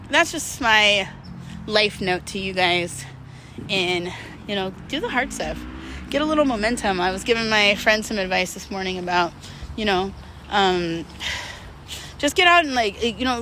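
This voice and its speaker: Woman on a walk chatting to followers, bright voice, self-deprecating and rambly, bit of outdoor noise. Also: medium pitch. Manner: talking while out walking. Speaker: vlogger. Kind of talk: casual motivational chat to followers. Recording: outdoor background noise, urban traffic ambience.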